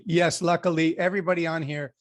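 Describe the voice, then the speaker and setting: A man's voice: on the high side, little pitch movement, fast. Friendly male host running a video call. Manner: friendly, guiding and organizing the call. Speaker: interview host. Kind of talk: casual video-call hosting.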